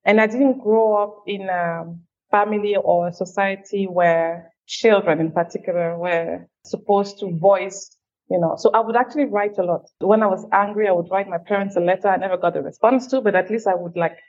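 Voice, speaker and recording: A woman from Cameroon speaking as an interview guest at a middling pitch. She talks in a thoughtful, measured way, speeding up on side remarks. The audio sounds a little dull and lo-fi. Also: fairly even intonation, darkish tone. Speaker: guest, feminist leader. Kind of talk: reflective interview answers.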